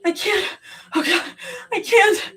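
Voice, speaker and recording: A woman voicing a panicking young woman who sobs and pleads in a high, cracking voice. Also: slow, fairly bright tone, heavily acted. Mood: sobbing panic.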